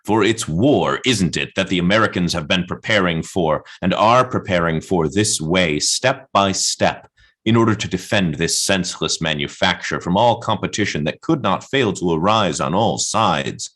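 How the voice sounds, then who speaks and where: A man's voice: sits low, animated, moderate pace, a bit bright. A man performing a solemn, biting orator in a theatrical recitation for an avant-garde radio play. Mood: biting declaiming.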